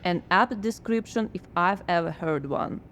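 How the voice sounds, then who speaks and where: An actress playing a blocked woman playwright who answers with wry, deadpan sarcasm in a radio drama. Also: lowish voice, moderate pace, a little hiss or hum, natural voice. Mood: sardonic. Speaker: writer.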